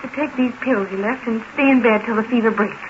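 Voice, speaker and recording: A woman's voice: fairly high pitch, fast talker, close to an everyday voice. An actress playing a put-upon, patient farm woman with a rural American accent, plain-spoken and placating, in 1940s radio drama style. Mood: weary, placating. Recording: muffled, hissy 1940s radio recording.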